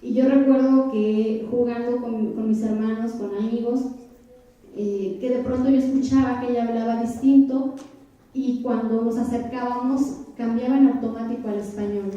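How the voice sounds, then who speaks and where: A young woman from Oaxaca, Mexico, giving a reflective keynote lecture to a university audience, often pausing on fillers and putting questions to the crowd. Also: fairly high pitch, dark tone. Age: 20s. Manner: frequent hesitation fillers. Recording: echoey hall, voice from far off.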